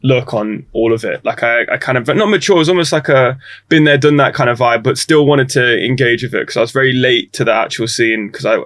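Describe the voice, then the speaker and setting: Man, twenties, from Croatia, podcast guest, halting, reflective, dry close sound. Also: medium pitch, a bit bright, no echo. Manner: halting, restarts often, thoughtful. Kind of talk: reflective casual conversation.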